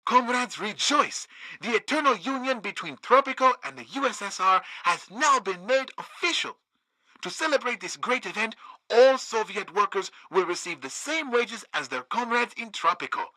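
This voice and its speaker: Piercing, zealous communist orator. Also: male voice, sits high, animated, moderate pace, sharp tone, dry recording, full character voice, rough recording. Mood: triumphant zeal. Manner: declamatory. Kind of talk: propaganda speech in radio parody.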